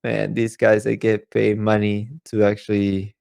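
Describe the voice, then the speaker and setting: Male podcast co-host, low creaky voice, dry sound, casual halting delivery. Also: monotone, darkish tone, no echo. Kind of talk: casual chat.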